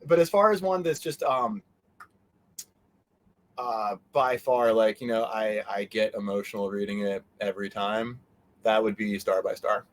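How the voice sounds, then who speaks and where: A man's voice: lowish voice, unhurried. A man as an interview guest in casual fan chat, joking and cutting into his own rambling sentences. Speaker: interview guest, convention organizer.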